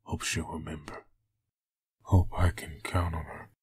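Brooding undead antihero: extremely low, gravel-rough, menacing, haunted comic-book grit. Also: male voice, little pitch movement, full character voice. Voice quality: deep, raspy. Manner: slow, grim delivery. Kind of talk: comic dub voice acting.

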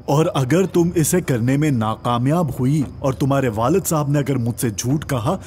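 A man's voice: medium pitch, a little mellow, faint music behind, natural voice. A man playing a greedy, commanding young prince in a children's cartoon fairy tale. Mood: stern, threatening. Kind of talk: children's cartoon story voice acting.

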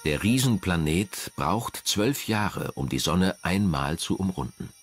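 A man narrating a scripted documentary in a measured, dramatic way. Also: low voice, animated. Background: background music.

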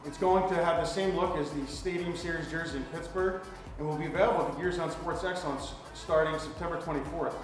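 A man presenting a store promo in a slightly high voice, sounding off-mic and set back from the camera. The room rings with heavy echo and a long tail. Soft music plays underneath. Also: steady tone, a little fast, hardly any pauses. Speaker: host. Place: hockey gear store, indoors. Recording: echoey room from far off.

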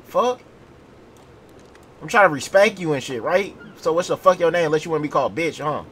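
A brash American man in his twenties, fairly high-voiced, with lively, swinging pitch. He chats in slang and swearing as he plays, on a headset over faint noise. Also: a little fast. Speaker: streamer playing a gang member in online roleplay. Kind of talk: profane slangy game roleplay chat. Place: indoor gaming setup.

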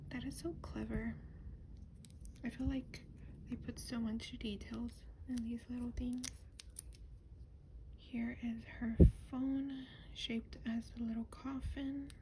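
A woman speaking softly and breathily, often whispering, in a gentle, unhurried ASMR show-and-tell. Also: fairly high pitch. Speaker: doll collector showing her collection. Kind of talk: soft-spoken ASMR product show-and-tell. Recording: noisy lo-fi ASMR recording.

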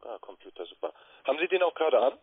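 A man works through a sales call on the telephone. His voice sits at an average pitch. The line is muffled and lo-fi. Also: big pitch swings, very fast, husky. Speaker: trading sales caller. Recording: roomy, muffled lo-fi phone line.

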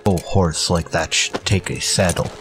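A man playing a stoic, loyal scout sidekick in a radio western, terse and deadpan in clipped broken English. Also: deep voice, a little slow, music in the background. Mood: quiet wonder. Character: stoic, dry-witted Native scout, loyal male sidekick. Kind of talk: old-time radio western acting.

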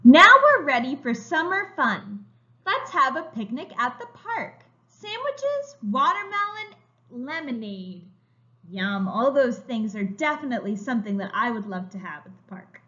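A woman preschool teacher reading a children's story aloud, expressive and emphatic, as if to young children. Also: high voice, measured pace. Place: room at home, indoors. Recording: slightly dull, lo-fi webcam sound.

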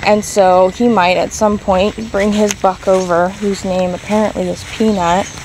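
A woman's voice: mid-range voice, little pitch movement, unhurried, darkish tone, slight background noise, poor audio. Young woman casually vlogging her rabbit barn. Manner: chatty, thinking aloud with many ums. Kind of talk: casual vlog walkthrough. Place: straw-filled barn and yard, indoors and out. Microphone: handheld phone camera.

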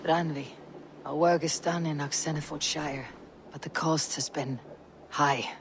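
A gruff, low-voiced Viking warrior woman. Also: fairly even intonation, a little hiss or hum. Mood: grave. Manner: grave, measured, deliberate. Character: battle-hardened Viking warrior woman, stern, resolute. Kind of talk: video game dramatic acting.

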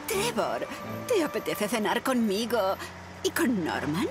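Animated, effusive cartoon mum. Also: female voice, medium pitch, slow pace. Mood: inviting. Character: effusive, excitable mother, grown woman. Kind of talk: cartoon dub acting. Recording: loud music behind the voice.

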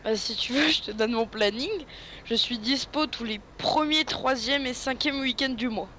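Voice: mid-range voice, moderate pace, pushed and bright. Playful, rambling co-player with slight hiss. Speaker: guest co-player. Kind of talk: casual co-op gameplay chat.

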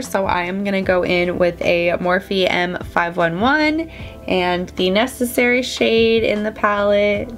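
A woman in her thirties with a stuffy, nasal cold voice, chatting upbeat while doing her eye makeup on camera. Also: fairly high pitch. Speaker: beauty vlogger. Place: small indoor room before a plain backdrop.

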